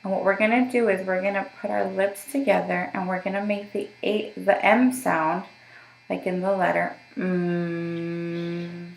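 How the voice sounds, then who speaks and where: A woman's voice: lowish voice, little pitch movement, measured pace, creaky, roomy sound, rough recording. A woman working as a voice therapist, giving patient step-by-step instructions for voice exercises and checking in often. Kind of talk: guided voice therapy session.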